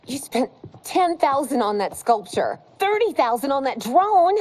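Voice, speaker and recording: An actress playing a blunt, exasperated wife in a video game cutscene. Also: female voice, sits high, big pitch swings, a little slow, darkish tone. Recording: game cutscene with music behind.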